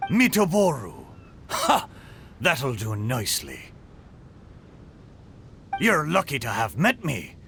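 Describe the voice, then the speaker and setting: Jolly talking boat for a game: old sea-dog swagger, slow delivery, hugely animated. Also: male voice, mid-range voice, creaky, faint music behind, full character voice. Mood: boastful. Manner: boastful, hearty pirate talk with nautical slang. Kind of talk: video game character acting.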